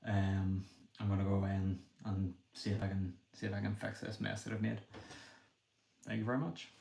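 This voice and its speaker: A young man with a Northern Irish accent, thinking aloud quickly and apologetically as he chats with a livestream audience. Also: fairly low pitch, level tone, vocal fry, darkish tone. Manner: talks quickly. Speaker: livestreamer building a brick set. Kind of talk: casual livestream chat while building. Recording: echoey room with faint noise.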